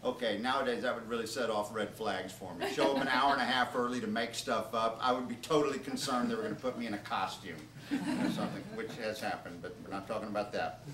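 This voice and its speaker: A man's voice: mid-range voice, steady tone, fast talker, a bit bright. Man reading aloud, distant and roomy. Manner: informal asides to the listeners. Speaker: author reading from his own book. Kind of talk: comedic storytelling, reading aloud to an audience. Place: live reading at a bookstore. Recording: roomy, distant, noisy live event recording.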